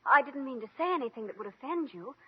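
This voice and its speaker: A woman's voice: high-pitched, lively intonation, fast, husky. A woman playing a sweet, meek, wistful young girl, polite, earnest and breathless, in old radio play style. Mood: pleading, hurt. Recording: muffled old radio recording.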